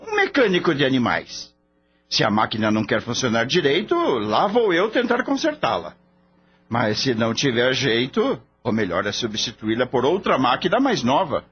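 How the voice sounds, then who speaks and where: A man's voice: mid-range voice, slightly rough, full character voice. A man playing a skeptical, scornful veterinarian boss in a radio drama, blunt, mocking and lecturing. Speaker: voice actor. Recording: dull lo-fi audio, faint hum.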